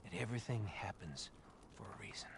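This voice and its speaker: An actor playing a charismatic, quietly menacing cult leader in a video game, calm and coaxing with a comforting, soft-spoken voice. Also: male voice, on the low side, fairly quick, gravelly, slight background noise. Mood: calmly menacing. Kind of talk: naturalistic video game cutscene acting.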